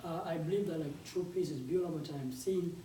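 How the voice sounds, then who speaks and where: A young man with a South Sudanese accent presents in a soft-edged, mellow tone. His delivery is halting and conversational, picked up from a distance in an echoey room with faint noise behind. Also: fairly high pitch. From South Sudanese, grew up in a refugee camp in Uganda. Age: 20s. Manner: halting, conversational, with frequent repeated words. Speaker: game developer and startup founder, guest speaker. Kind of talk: informal conference presentation.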